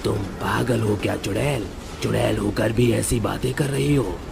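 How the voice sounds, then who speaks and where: A man's voice: lowish voice, steady tone, normal speed, gravelly, background noise. A man playing a hapless, henpecked ghost in a cartoon, grumbling in an exasperated way. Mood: flustered. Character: hapless, henpecked male ghost train driver in love. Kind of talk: animated horror-comedy story acting.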